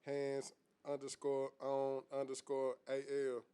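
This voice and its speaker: A man in his thirties from Houston speaks as a guest rapper in a casual chat. His voice is flat and unhurried, and he sits far from the mic. The room around him is very echoey, with clear background noise. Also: mid-range voice. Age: 30s.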